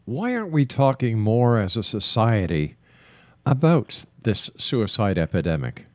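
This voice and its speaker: A man with a low voice hosting a radio interview show, talking in an earnest, measured way. He speeds up for station announcements, and the sound is dry and close. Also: big pitch swings, no room sound. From Canada. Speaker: radio talk show host.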